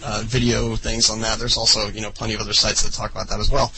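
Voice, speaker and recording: A man's voice: lowish voice, level tone, fast, bright, forceful tone, a little hiss or hum, low-quality recording. A man co-hosting a casual gaming podcast, rambling with lots of fillers and laughing easily. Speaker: podcast co-host.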